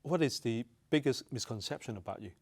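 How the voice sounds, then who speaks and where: A man's voice: medium pitch, expressive, fairly quick, darkish tone. A man speaking as an interview host, putting questions in a conversational style.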